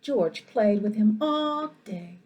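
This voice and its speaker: A woman reading a children's story aloud in an expressive, animated way, with asides to her young listeners. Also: mid-range voice, takes it slowly, slightly rough, muffled recording. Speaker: school principal reading a picture book aloud.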